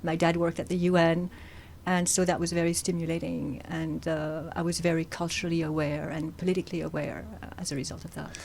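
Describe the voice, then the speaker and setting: A woman from France, a poet and actor, answering interview questions in a lively way, with frequent fillers and easy laughter. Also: low-pitched, a little fast, gravelly, slight background noise.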